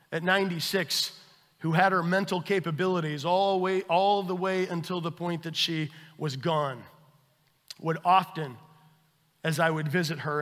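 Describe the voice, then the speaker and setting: Bright high-voiced male pastor preaching. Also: in a large hall. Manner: earnest preaching, rising emphasis then hushed, soft reading. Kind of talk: sermon. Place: church sanctuary.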